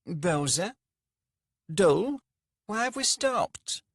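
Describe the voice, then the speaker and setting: Shrill nasal merchant, pompous and cowardly, wavery voice. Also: male voice, sing-song, extremely slow, dry recording, studio quality, heavily acted. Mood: indignant. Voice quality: wavery, nasal, almost feminine. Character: plump pompous male merchant, whiny and cowardly.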